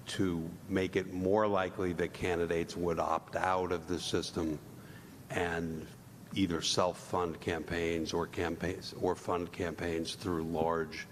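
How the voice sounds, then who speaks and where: Real deep voiced guy, commissioner type, careful lawyerly wording, big echoey room. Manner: deliberate, lawyerly, measured phrasing. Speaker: commissioner questioning speakers. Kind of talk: formal questioning at public hearing. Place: large indoor public hearing room. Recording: echoey hall, rumbling room noise.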